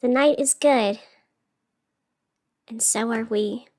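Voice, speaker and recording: A woman playing a gentle, sincere night radio host in an audio drama, speaking in an earnest, encouraging, reflective way. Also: on the high side, slow pace.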